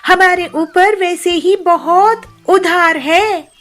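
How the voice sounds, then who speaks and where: A woman's voice: very high-pitched, slow pace, fairly bright tone, soft background music, pitched above the performer's own voice, exaggerated voice. A woman voicing a poor, anxious wife bird in a cartoon moral story, pleading and worried.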